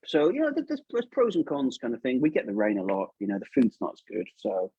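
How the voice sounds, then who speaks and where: A man's voice: medium pitch, huge pitch swings, fast, mellow, husky, dry recording, lo-fi sound. A man with an English accent chatting casually as an interview guest, informal and often tagging questions onto his remarks. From England. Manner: informal, chatty, seeks agreement with tag questions. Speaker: guest puppeteer.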